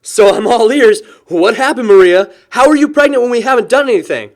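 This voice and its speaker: Male actor for lovesick young guy, sarcastic and emotional, highly varied intonation, naturalistic film drama. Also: animated, brisk, darkish tone. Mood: hurt, enraged. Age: young adult.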